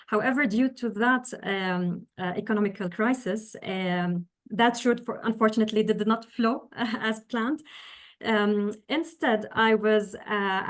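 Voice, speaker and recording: This is a woman in her thirties, from Syria and raised in the Gulf, answering interview questions. Her pitch sits in an ordinary middle range. She speaks earnestly and with care, and she often stops to gather her thoughts. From Syria, raised in the UAE. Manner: measured and earnest, with many pauses as she explains. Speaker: guest, engineering professor.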